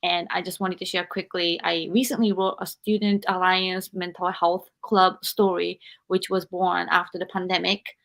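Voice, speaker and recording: A woman from Japan, a non-native English speaker, hosting a live podcast interview and encouraging her guest while pausing to search for words. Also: lowish voice, flat delivery, creaky, a bit bright. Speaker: podcast host.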